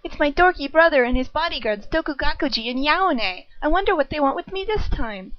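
Casting: hyperactive cocky little cartoon demon girl, played by a woman, high voice, dull close mic. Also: animated, a little fast. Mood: excited, pestering. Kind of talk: amateur anime parody dub.

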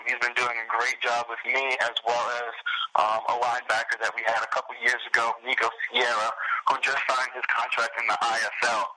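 Bright-voiced young man, native French. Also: medium pitch, low-quality recording. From Haitian family. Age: 20s. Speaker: football prospect guest. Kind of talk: phone interview answers.

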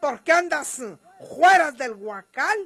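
Radio drama role: hot-tempered northern Mexican outlaw woman, high and sharp, scornful country speech. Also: expressive, takes it slowly, full character voice. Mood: defiant. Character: defiant, hot-tempered bandit's woman, María Eugenia.

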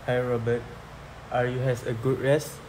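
A man's voice: medium pitch, level tone, a little slow, darkish tone, lightly acted, poor audio. A young man with a Malaysian accent playing a welcoming local tour guide in an amateur audio drama, reading stiffly from a script. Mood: informative. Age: young adult. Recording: amateur recording, background noise.

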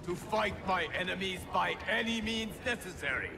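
An actor playing a bitter, defiant undead warrior in a video game, with a taunting, intense delivery. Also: male voice, sits high, animated, normal speed, gravelly, over loud music. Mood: bitter defiance. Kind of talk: dramatic video game cutscene acting.

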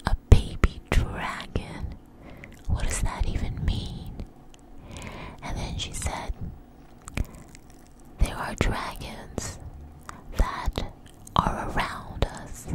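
Woman doing asmr story in a close breathy whisper, kinda hesitant and chatty, really slow. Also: rough recording. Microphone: close mic.

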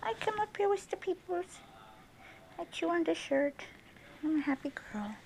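A cheerful little puppy chatters away in a soft, half-whispered baby-talk voice, as a woman puts it on. The voice is high and lively, and it is recorded on a phone video with plenty of noise behind it. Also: moderate pace, gravelly, exaggerated voice, low-quality recording. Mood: happy.

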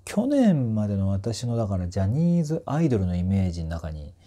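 A man's voice: lowish voice, sing-song, brisk, dark tone. A man in his forties speaks as a voice coach giving a casual review. His delivery is conversational and musing, full of small asides. He is recorded indoors on a small clip-on mic. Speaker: voice trainer reviewing music. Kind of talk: casual reaction commentary. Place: small indoor space with curtain backdrop.

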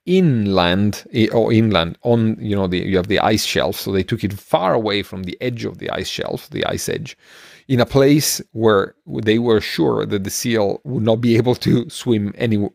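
A middle-aged man co-hosting a podcast, explaining things casually in a halting, rambling way. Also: on the low side, gravelly, darkish tone, no echo, studio quality. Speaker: podcast co-host. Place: small room at home, on a video call. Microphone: close microphone, headphones.

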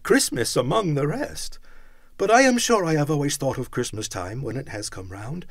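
A cheerful young man pleads earnestly, as a male reader gives him voice. His pitch rises and falls with feeling, recorded close and dry. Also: animated, moderate pace, no room sound.

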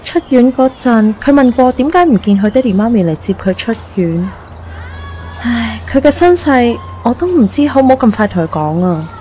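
A woman's voice: fairly high pitch, lively intonation, moderate pace, mellow, slight background noise, natural voice. A woman acting a caring, troubled young woman in a radio drama, earnest and questioning, with a Hong Kong Cantonese accent. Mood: worried. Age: young adult. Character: worried, caring young woman devoted to her friend.

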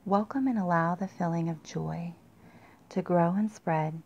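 A woman leading a guided meditation in a soft, breathy, husky near-whisper with long pauses, in a dull, muffled recording. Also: on the low side.

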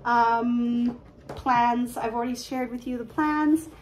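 This is a woman in her thirties with a fairly high, bright speaking voice, chatting eagerly to a webcam in her living room. She talks fast from excitement, peppered with fillers and small laughs. A light background hiss sits under the recording. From Canada. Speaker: cross-stitch vlogger. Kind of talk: casual show-and-tell chat. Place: living room at home, indoors. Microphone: webcam at desk distance.

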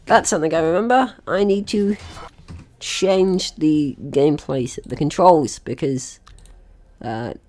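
A man's voice: on the high side, darkish tone. A young man giving casual let's play commentary as he plays a game. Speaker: gaming video host.

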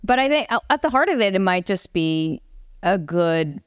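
Female panellist, lowish smooth voice, informal chatty delivery, dry close recording. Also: big pitch swings, normal speed, no room sound. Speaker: podcast panellist. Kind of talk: casual panel discussion.

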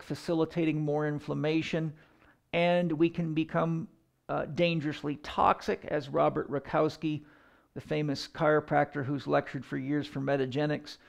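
A man in his fifties teaching as a fitness coach, explaining in a deliberate, emphatic way with pauses. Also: fairly high pitch, gravelly. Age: 50s. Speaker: fitness coach and educator giving a lecture. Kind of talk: explanatory lecture to camera. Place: indoor room in front of a chalkboard.

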